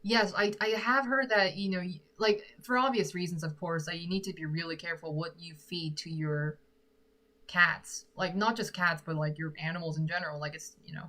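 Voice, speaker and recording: A woman's voice: fairly low pitch, fairly even intonation, normal speed, sharp tone. A young woman from Korea, chatting casually with viewers, giggly and thinking aloud. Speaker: singer-songwriter chatting with viewers. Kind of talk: casual chat answering live comments.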